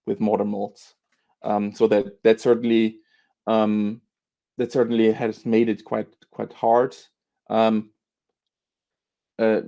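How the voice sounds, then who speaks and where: Low, flat-voiced man, halting. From Austria. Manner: hesitant, many fillers and repeated words. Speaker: beer author and historian, guest. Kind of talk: interview answers.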